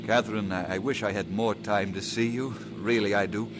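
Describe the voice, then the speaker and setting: An actor with a Scottish accent playing an earnest young preacher in a 1940s radio drama. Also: male voice, lowish voice, steady tone, fairly quick, background noise, natural voice. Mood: tender. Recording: vintage radio recording with music.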